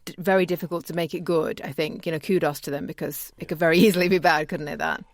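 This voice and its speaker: A woman's voice: low-pitched, fairly even intonation, quick pace, no room sound, studio quality. A woman co-hosting a podcast, chatting casually as she interviews. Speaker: podcast co-host.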